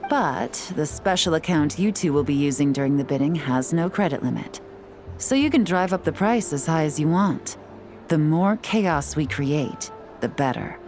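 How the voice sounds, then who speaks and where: Cool lady spy type from a game, deep for a woman, calm n in control. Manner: calm, assured, smooth-talking. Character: cool, composed, shrewd adult female operative. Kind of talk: video game voice acting.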